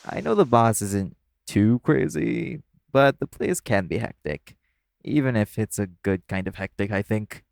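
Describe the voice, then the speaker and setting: A man's voice: lowish voice, lively intonation, measured pace, gravelly, darkish tone, no echo, studio quality. A man playing an easygoing, teasing club performer who chats casually with a coworker in an intimate audio roleplay. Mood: friendly, reassuring.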